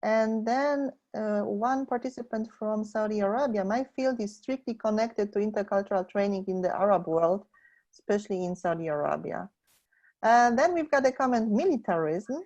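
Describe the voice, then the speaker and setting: A woman's voice: mid-range voice, expressive, normal speed, a little mellow. A woman hosting a webinar, speaking to the online participants as moderator. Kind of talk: introducing the speaker and reading out chat comments. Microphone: webinar call. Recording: dull lo-fi online call audio.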